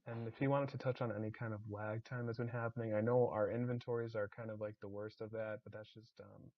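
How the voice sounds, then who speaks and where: A man hosting a casual online community discussion, hesitant with many ums and restarts, his voice slightly raspy. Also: fairly low pitch, flat delivery, quick pace, highs cut off. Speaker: community staff host.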